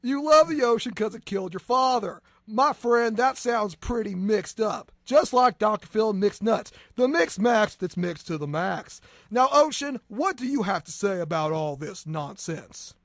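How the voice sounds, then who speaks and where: A folksy quack TV therapist, put on by a man, scolding in drawn-out phrases. The voice is pitched high and recorded dry. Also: lively intonation, no room sound. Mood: mock-counseling.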